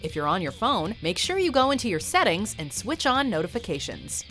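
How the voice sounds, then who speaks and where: A woman's voice: medium pitch, very animated, a little fast, fairly bright tone. A woman hosting and narrating a scripted countdown video. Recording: music behind the voice. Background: background music.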